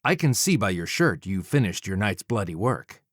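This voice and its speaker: A man voicing a resolute demigod hunter of murderers in an audiobook, measured and accusing, with a dry, deadpan edge. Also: fairly low pitch, no echo.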